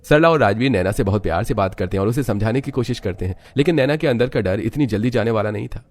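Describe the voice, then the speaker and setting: Brisk, low-voiced male storyteller. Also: lively intonation, a little hiss or hum. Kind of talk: scripted third-person story narration.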